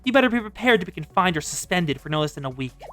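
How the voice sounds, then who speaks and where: A woman's voice: on the low side, sing-song, fast, faint music behind, pitched below the performer's own voice. A woman voicing a stern, exasperated student council girl from a game, with a formal, reproachful manner. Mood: scolding.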